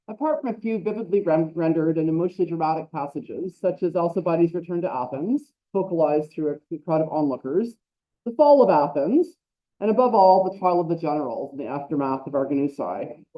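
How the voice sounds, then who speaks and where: A woman at a conference, reading her academic paper aloud with quick self-correcting asides and a loud voice. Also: low-pitched, expressive, muffled recording. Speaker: conference speaker. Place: lecture hall.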